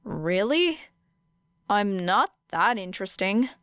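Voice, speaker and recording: Teenage girl student, read by a woman, guarded, reserved, polite, smooth clear voice, close dry sound. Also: mid-range voice, very animated, slow, fairly bright tone, no echo. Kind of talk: audiobook character voice.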